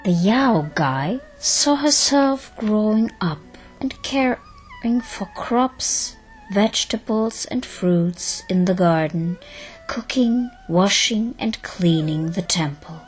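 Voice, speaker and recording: A woman narrating a scripted audio drama in a measured, dramatic storytelling manner. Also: mid-range voice, animated, faint music behind, rough recording.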